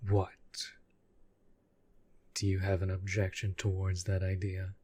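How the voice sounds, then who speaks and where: Give the demon as a man acts him out a deep, breathy husk and a slow, deliberate delivery. He is a proud, jealous and devoted lover in an intimate audio roleplay, speaking in formal, intense phrases. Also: completely flat delivery, mellow. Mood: insistent. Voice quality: husky, breathy.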